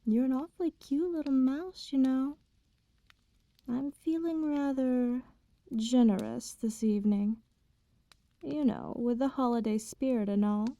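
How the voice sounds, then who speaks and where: A woman playing an immortal vampire in an ASMR roleplay, speaking softly and formally, with condescending tenderness and quiet menace. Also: high voice, no room sound. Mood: teasing, indulgent. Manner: formal archaic diction, unhurried, teasing. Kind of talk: slow ASMR roleplay, intimate bedtime storytelling.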